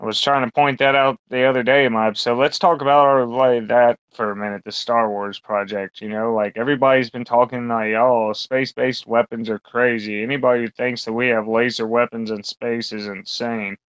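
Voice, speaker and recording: A man's voice: medium pitch, flat delivery, a little fast, hardly any pauses, a bit bright, clean tone, no room sound. A man co-hosting a podcast, rambling through a casual, crude chat full of fillers and swearing. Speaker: podcast co-host. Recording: dull lo-fi sound.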